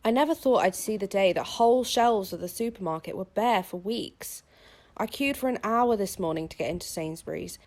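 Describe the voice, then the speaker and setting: A mid-pitched female voice of an actress tells a diary story for a short film. She is a wry, bemused shopper recounting small daily trials in a scripted monologue. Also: fairly quick, darkish tone, natural voice. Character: wryly amused woman shopper recounting daily hardships.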